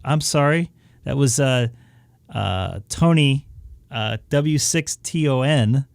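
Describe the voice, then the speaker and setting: A hoarse man from southern California, rambling through a casual wrap-up of his radio stream with many filler sounds. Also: mid-range voice, slow pace, no echo. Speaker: ham radio live streamer. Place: home radio station.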